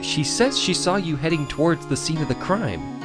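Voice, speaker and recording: Woman voicing an earnest young male lawyer, low voice. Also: lively intonation, normal speed. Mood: earnest, probing. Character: earnest, dry-witted young male rookie defense lawyer. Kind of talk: voiced dialogue for a video game fan dub. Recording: music behind the voice. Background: music.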